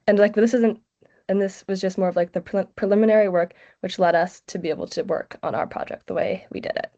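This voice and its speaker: A woman's voice: medium pitch, steady tone, a little fast, vocal fry, warm tone. A sixteen-year-old girl from the United States, giving a casual student presentation with lots of "like" fillers. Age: teens. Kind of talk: online presentation. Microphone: video call. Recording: muffled lo-fi video call audio.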